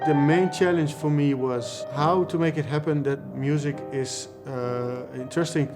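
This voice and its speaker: A man in an interview explaining his craft as a composer, in halting short phrases. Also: on the high side, steady tone. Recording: music behind the voice.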